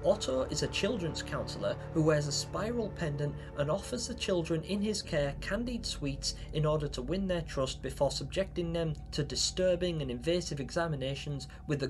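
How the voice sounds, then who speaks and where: A young man hosting a video, giving theatrical, wordy commentary to viewers about game theories. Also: a little high. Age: young adult. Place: small home room, indoors. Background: background music.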